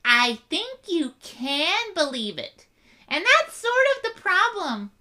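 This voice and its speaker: A woman's voice: extremely high pitch, very animated, takes it slowly, bright, forceful tone, full character voice. A woman voicing a bubbly, quirky female unicorn pony in a fan comic dub, giddy and playful. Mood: gentle.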